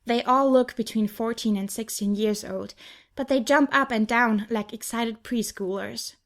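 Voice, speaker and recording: A woman narrator with a highish voice reads a young adult fantasy novel. The delivery is scripted first-person storytelling, in the manner of an audiobook. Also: a little slow.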